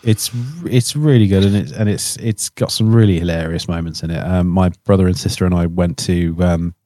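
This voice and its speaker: A man's voice: sits low, fairly even intonation, a little fast, mellow, no room sound. A man chatting casually as a podcast panellist, hesitant and repeating his phrases as he recommends things. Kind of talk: casual chat.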